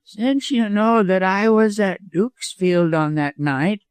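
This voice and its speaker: A sneaky, spiteful lawyer villain from a storybook, acted out by a male narrator. The voice sits high and snarls harshly, cowardly yet full of venom. The sound is close and dry. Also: slow, clear, resonant tone, no echo. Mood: smug, defiant. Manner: snarling, gasping, harsh, chuckling.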